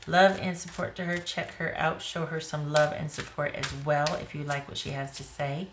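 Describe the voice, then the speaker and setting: Low-voiced woman doing a tarot reading, a bit hoarse, talks to herself over the cards, echoey room with faint hiss. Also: level tone, moderate pace. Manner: thinks aloud while pulling cards. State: slightly hoarse. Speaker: tarot reader. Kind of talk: casual tarot reading to camera.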